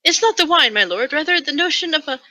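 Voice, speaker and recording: A woman's voice: high-pitched, lively intonation, fast, sharp tone, voice pushed up. A woman voicing a prim, flirtatious, teasing ancient elf lady from a romance novel. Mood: coy, teasing. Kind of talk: dramatic romance reading.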